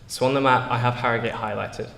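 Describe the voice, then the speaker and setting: A man's voice: mid-range voice, fairly even intonation, fast, creaky, a bit bright. A teenage boy from England with a Yorkshire English accent, giving an earnest prepared school speech with small slips and fillers. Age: teens. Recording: echoey hall, long reverb.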